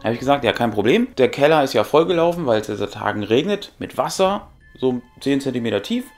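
A middle-aged man, sick and tired, hosting a casual, colloquial recap of a tabletop role-playing game and explaining it with dramatic pauses. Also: medium pitch, animated. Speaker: host and game master. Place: small furnished room with brick wall. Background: music.

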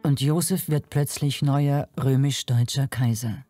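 A woman narrates from a script in a warm, relaxed timbre. Her delivery is measured and uses dramatic pauses, with light music behind her. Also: a bass voice. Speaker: documentary narrator. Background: faint music.

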